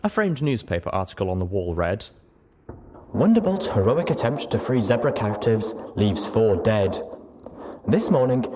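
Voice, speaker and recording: A man's voice: fairly low pitch, background noise. A man reading a first-person story aloud as a dramatic audiobook narration. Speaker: audiobook reader.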